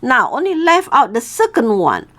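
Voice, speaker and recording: A woman's voice: high voice, huge pitch swings, normal speed, fairly bright tone. A woman tells a story as an informal lesson, explaining didactically and often checking in with "okay?". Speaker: storytelling teacher and host.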